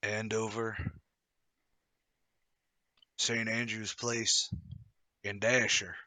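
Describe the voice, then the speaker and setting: Man doing a low, hushed, grim police detective. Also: level tone, extremely slow, no echo, exaggerated voice. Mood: grave, hushed. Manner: terse, ominous. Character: grim, low-voiced male police officer from Scotland Yard.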